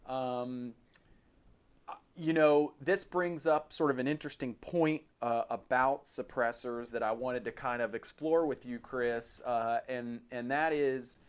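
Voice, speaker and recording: A man hosting a podcast in a casual, informal chat, thinking aloud in a halting way. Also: medium pitch, clear, resonant tone. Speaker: podcast host.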